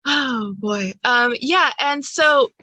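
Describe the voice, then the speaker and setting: A woman running a tabletop game as game master, casual, informal and quick to giggle. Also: high-pitched, pushed and bright, no room sound.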